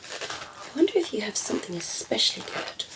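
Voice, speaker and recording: A woman playing a cheeky, flirtatious female vampire in a soft, teasing ASMR roleplay, often slipping into whispers. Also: mid-range voice, very animated, a little fast, noisy background, lightly acted. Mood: flirtatious, hungry.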